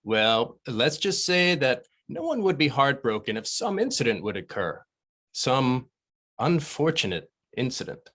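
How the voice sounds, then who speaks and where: A man's voice: mid-range voice, very animated, a little slow, a bit bright. A smug, conniving crony, acted by a man in a script read. His intonation swings widely, with a sly, sardonic edge to his delivery. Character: smug, scheming male crony at a gentlemen's dinner. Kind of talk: screenplay table read.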